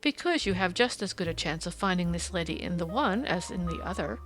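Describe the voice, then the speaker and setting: A woman voicing a confident, amused gentleman detective who speaks precisely and teasingly in an audiobook story. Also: fairly low pitch, expressive, fairly quick, faint music behind.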